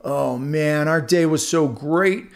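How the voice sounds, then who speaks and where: A man doing a bragging, high-pitched talking earbud. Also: slow, gravelly. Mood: smug, mocking. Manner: mocking, bragging. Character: boastful, sneering pair of talking earbuds. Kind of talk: children's storybook character voice.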